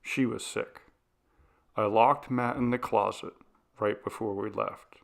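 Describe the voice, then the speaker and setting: A man's voice: fairly low pitch, fairly even intonation, unhurried, creaky. A man narrating a scripted ghost story as an audiobook reader.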